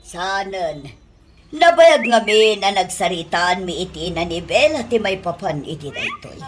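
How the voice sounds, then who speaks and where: An actress playing an expressive middle-aged aunt who runs a restaurant, exasperated but fair, in a radio comedy drama. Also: female voice, medium pitch, measured pace, a little hiss or hum. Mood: explaining.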